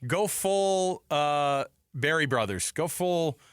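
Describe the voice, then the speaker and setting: A man's voice: fairly high pitch, lively intonation, takes it slowly, a bit bright, clear, resonant tone, no echo. A man hosting a casual sports talk show from a studio, speaking in an emphatic, exclamatory, conversational way. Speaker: sports radio host. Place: radio studio. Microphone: close studio microphone.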